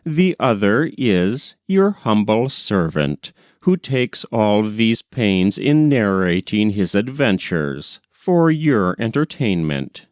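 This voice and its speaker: Male narrator, slow pace, lively pitch movement, measured literary storytelling, scripted audiobook reading. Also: no room sound, studio quality. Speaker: audiobook reader.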